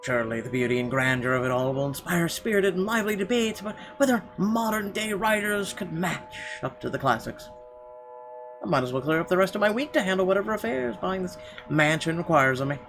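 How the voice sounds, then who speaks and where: A man's voice: high-pitched, expressive, a little fast. A man hosting a gaming video, reading a visual novel's narration aloud. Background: game music.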